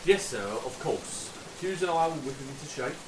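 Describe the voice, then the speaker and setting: An actor playing a respectful, good-humoured army sergeant in a wartime radio drama. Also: male voice, medium pitch, expressive, moderate pace, lightly acted. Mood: dutiful. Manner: respectful, obliging. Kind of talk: period war radio drama acting. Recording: echoey, distant, hissy with rain.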